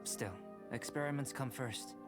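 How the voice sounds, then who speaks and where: Young male scholar for a video game, acted by a man: composed, detached, curious, formal, mid-pitched. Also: measured pace, over music, natural voice. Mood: thoughtful.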